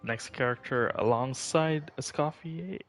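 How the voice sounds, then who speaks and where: A man's voice: mid-range voice, a little slow, faint music behind. A man streaming a video game, chatting casually and thinking aloud with questions to himself. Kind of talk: casual gameplay commentary.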